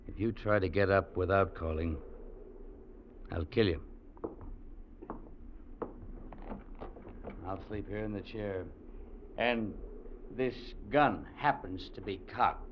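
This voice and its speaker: An actor playing a hard, dutiful Mountie sergeant who talks curtly and sternly, in 1940s radio drama style. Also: male voice, deep voice, a little slow, long pauses. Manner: curt, stern and unyielding. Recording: dull old radio recording, faint hiss.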